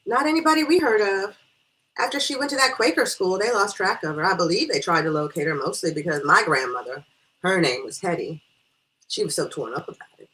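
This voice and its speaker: Lady doing a prim patient small town woman in an audiobook, measured, bit dry, lively intonation. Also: lowish voice, poor audio. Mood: matter-of-fact.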